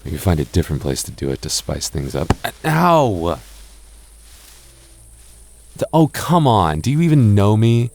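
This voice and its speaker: A young man in a close-mic boyfriend roleplay, talking softly with halting restarts and light jokes. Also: fairly low pitch, big pitch swings, creaky, slight background noise. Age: young adult. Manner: soft-spoken, halting, jokey and reassuring; slow measured reading of a rhyme.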